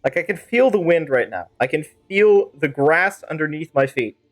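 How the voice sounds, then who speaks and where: A man's voice: fairly high pitch, expressive, moderate pace. A man livestreaming a game, talking in a casual, rambling, chatty way and slipping into playful role-play. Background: game music.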